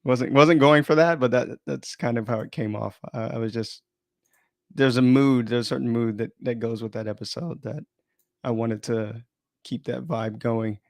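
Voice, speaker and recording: A man's voice: mid-range voice, normal speed, no room sound. A man chatting informally and thinking aloud as he runs an unplanned live stream, often interrupting himself in a rambling way.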